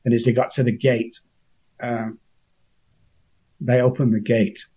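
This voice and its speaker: A middle-aged man from Manchester speaks with a strong local accent at an ordinary pitch. He tells his story earnestly and emotionally, often stopping and restarting his sentences. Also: flat delivery, unhurried, mellow, breathy edge. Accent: Manchester English. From Manchester, England. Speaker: guest. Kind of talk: personal recovery story.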